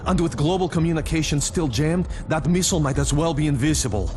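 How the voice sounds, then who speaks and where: An actor playing an earnest, capable superhero tech expert in a video game, briefing in a matter-of-fact way. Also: male voice, on the high side, quick pace, background noise, natural voice. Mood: serious, focused. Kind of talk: video game drama acting.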